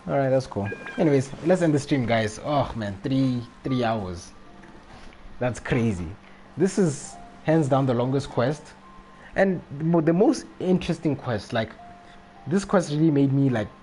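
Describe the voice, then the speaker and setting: A man's voice: mid-range voice, lively intonation, moderate pace, warm tone, soft background music. A man chatting casually on a gaming livestream, rambling and repeating himself as he goes. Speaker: live streamer.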